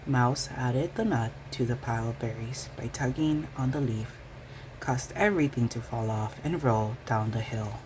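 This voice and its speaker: A woman reading a children's bedtime story aloud in an expressive storytelling style. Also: very deep voice, moderate pace, noisy background.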